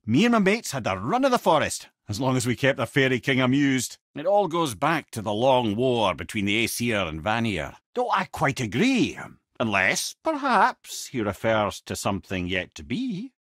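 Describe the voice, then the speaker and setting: Witty old Scottish lore-teller, video game character, husky voice, animated intonation, theatrical, eloquent, wry. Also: male voice, mid-range voice, normal speed, no room sound, studio quality. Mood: storytelling. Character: wise, witty old male talking head, lore-telling companion. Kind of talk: game character dialogue and storytelling.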